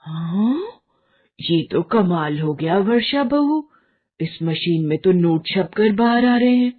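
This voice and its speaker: A woman's voice: mid-range voice, lively intonation, takes it slowly, narrow, tinny audio. A woman voicing a nosy, exclaiming older mother-in-law in a cartoon story. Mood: amazed.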